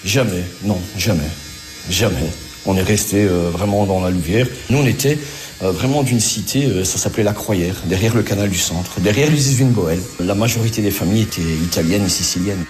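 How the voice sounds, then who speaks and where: A man's voice: low-pitched, normal speed, a little mellow. A middle-aged man from Belgium with a Belgian French accent, chatting casually and reminiscing in a colloquial way. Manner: colloquial, uses conversational tags. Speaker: interviewee recounting family memories.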